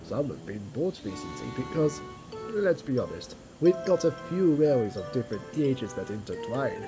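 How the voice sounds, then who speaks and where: A woman voicing a stern, matter-of-fact male railway engine in an audio drama, explaining in a measured, firm way. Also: a bass voice, sing-song, music in the background, poor audio. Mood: patient explaining.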